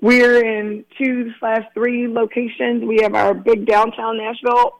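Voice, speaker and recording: A man's voice: very high-pitched, little pitch movement, a little slow, low-quality recording. A man, a guest attorney talking earnestly in a casual radio interview with many restarts. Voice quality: raspy.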